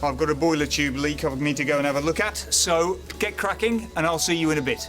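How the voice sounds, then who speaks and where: An actor playing a busy, harried maintenance supervisor, brisk and managerial, in a naturalistic training film drama. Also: male voice, a little high, steady tone, fairly bright tone, faint music behind, close to an everyday voice. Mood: brisk, instructing.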